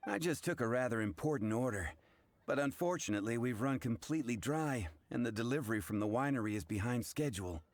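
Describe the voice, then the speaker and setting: A man plays a harried tavern keeper for an anime game. He is polite and businesslike, in an average-pitched male voice. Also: lively intonation, quick pace, natural voice. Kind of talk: English anime game voice acting.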